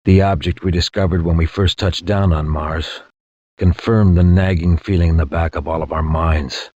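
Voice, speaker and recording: An actor playing a somber veteran soldier who narrates his war on Mars in a grave, measured, reflective way. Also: low-pitched, fairly even intonation, a little mellow, slight background noise, close to an everyday voice. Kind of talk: scripted dramatic narration. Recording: muffled, lo-fi game audio.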